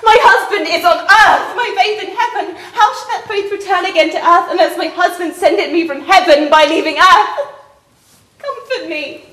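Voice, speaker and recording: Woman acting a very high, distraught young daughter. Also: lively intonation, measured pace, fairly bright tone, full character voice. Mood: anguished despair. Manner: tearful, impassioned, declamatory. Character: distraught, pleading young daughter, defiant yet desperate. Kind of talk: classical stage verse acting. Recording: echoing room.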